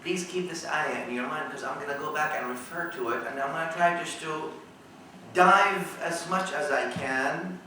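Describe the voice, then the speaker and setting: A man's voice: fairly high pitch, normal speed, bright, forceful tone, a little hiss or hum. A man giving a religious lecture to a live audience, explaining a verse piece by piece and quizzing his listeners. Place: lecture room in a college. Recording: very echoey room, mic at a distance.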